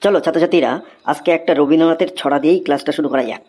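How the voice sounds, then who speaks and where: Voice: mid-range voice, rapid-fire, over music, close to an everyday voice. A performer voicing an exasperated male schoolteacher in a Bengali comedy cartoon, with a theatrical, scolding manner. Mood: patient, quizzing.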